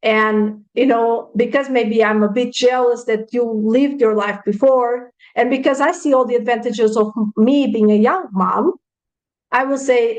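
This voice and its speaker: A woman's voice: on the high side, poor audio. A woman in her thirties, speaking frankly and reflectively as an interview guest, with many "you know" fillers. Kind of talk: casual interview conversation.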